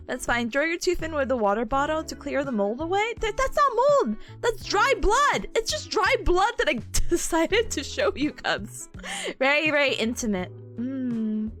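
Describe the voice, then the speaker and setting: A woman's voice: sits high, big pitch swings, measured pace, a bit bright, soft background music. A young woman streamer chatting casually with her viewers, bubbly, giggly and playful, reading comments as she goes. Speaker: study streamer.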